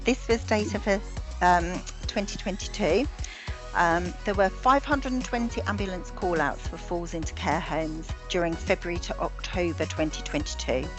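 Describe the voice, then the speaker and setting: A British woman presenting slides in a webinar over a video call, measured and halting with frequent ums and restarts, her voice going hoarse. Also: lowish voice, music in the background. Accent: British English. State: losing her voice.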